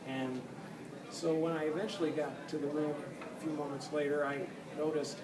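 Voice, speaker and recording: Man playing a halting janitor witness, echoey room. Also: on the high side, level tone, lightly acted. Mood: plain, halting. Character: school janitor plainly recounting finding a victim. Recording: echoey, noisy room, distant mic.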